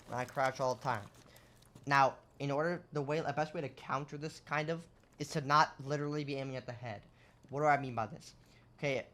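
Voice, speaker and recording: Young guy going hoarse, walking you through game tactics, chatty, keeps asking right?. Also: medium pitch, fairly bright tone. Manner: explanatory, rhetorical questions, lots of "right?". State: losing his voice. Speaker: gaming content creator. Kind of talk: casual gameplay tips commentary.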